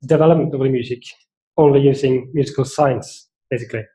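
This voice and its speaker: A man's voice: medium pitch, fairly even intonation, fast, warm tone, low-quality recording. A man with a Norwegian accent giving an academic seminar talk, thoughtful and hesitant, with many fillers and restarts. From Norway. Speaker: seminar speaker.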